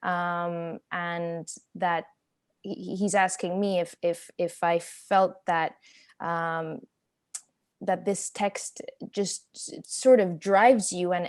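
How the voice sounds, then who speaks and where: Woman interpreting haltingly at a Q&A. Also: lowish voice, a little mellow. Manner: hesitant, halting while translating. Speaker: actress and interpreter. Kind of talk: unscripted post-show Q&A, interpreting for others.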